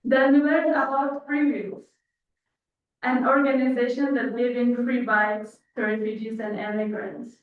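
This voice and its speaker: A woman's voice: fairly high pitch, flat delivery, a little slow. A young woman from Afghanistan with an Afghan accent, delivering a prepared personal speech. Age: young adult. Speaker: guest speaker telling her story. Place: large indoor church. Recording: thin phone-like sound, echoey hall, distant.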